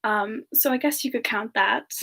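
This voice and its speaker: A woman playing a sweet, bashful female demon singer, soft-spoken and hesitant, in a semi-ASMR livestream chat. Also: on the high side, moderate pace, gravelly. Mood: amused, shy.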